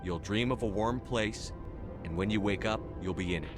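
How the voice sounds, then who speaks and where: A man playing a steady, protective military commander in a video game, measured and plain-spoken. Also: lowish voice, music in the background, natural voice. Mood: gentle, calming.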